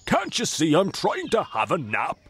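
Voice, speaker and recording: A storyteller voicing a grumpy, sleepy old farmer in a children's storybook, gruff and complaining. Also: mid-range voice, wildly swooping pitch, normal speed, fairly bright tone, a little hiss or hum, voice pushed up. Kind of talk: storybook character voice.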